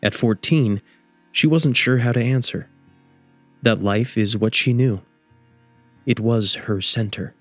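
A man's voice: medium pitch, slow, long pauses, no room sound, soft background music. A man reading scripted story narration as the narrator of an audio drama.

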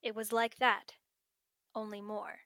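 A woman reading the lines of a gentle middle-aged father in a bedtime story, in a soft, tender tone. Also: medium pitch, little pitch movement, a little slow, dry recording, studio quality.

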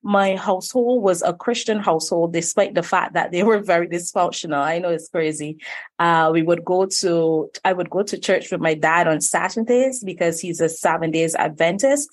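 A woman's voice: lowish voice, normal speed. A woman from Barbados, a life coach telling her childhood story in a podcast interview, earnest and reflective. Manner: earnest, reflective, with frequent "you know". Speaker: guest, life purpose coach.

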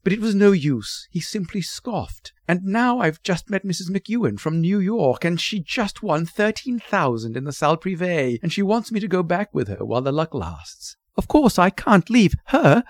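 Man voicing a fluttery, high-pitched doting mother. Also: very animated, normal speed, few pauses, no echo, studio quality, exaggerated voice. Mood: flustered, breathless gushing. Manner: pleading, gushing, apologetic. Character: timid, fluttery, doting middle-aged society mother.

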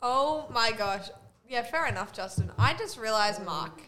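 A twenty-year-old woman hosting a casual group chat, teasing gently and prompting others with follow-up questions. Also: on the high side, lively intonation, a little slow. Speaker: podcast host.

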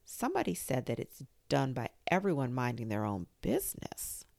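A woman voicing a polite, curious young girl in a storybook reading, soft-spoken. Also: a bass voice, wildly swooping pitch, moderate pace, vocal fry, no room sound, studio quality, exaggerated voice.

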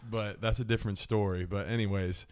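A man's voice: lowish voice, fairly even intonation, a little fast, a little mellow, clear, resonant tone. A man in his mid-twenties hosting a podcast, giving earnest, upbeat advice in casual chat. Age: mid-20s. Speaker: podcast host.

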